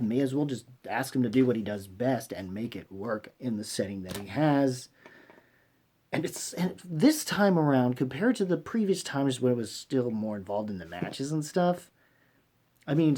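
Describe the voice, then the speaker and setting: A man giving casual, rambling vlog commentary, haltingly repeating himself and trailing off mid-thought in informal language. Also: medium pitch, darkish tone. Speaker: vlogger hosting a fan channel. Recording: clearly noisy recording with clicks.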